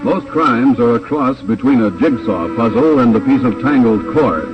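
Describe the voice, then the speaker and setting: A man's voice: medium pitch, expressive, normal speed, dull audio. A man announcing and narrating a 1950s radio crime drama in a dramatic, declamatory scripted style. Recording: old radio recording with music.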